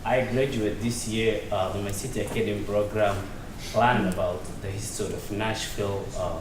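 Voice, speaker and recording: Young man, hesitant with fillers, low voice, roomy hall. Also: steady tone, far from the mic. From Somalia. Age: young adult. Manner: hesitant, many pauses and fillers, cheerful. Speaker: audience member asking a question. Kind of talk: public comment at a community meeting. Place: community meeting hall. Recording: echoey hall, voice heard from afar, background noise.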